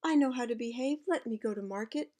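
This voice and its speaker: A woman voicing a small, headstrong little girl pot who begs in a high sing-song. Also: a little fast, darkish tone, close to an everyday voice. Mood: pleading.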